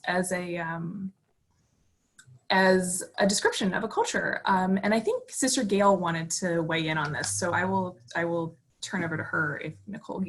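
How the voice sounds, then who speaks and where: Husky-voiced academic woman explaining. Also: mid-range voice. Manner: articulate, academic, frequent "right?" and "um". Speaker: panelist. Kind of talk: explanatory discussion on a video call. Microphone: video call.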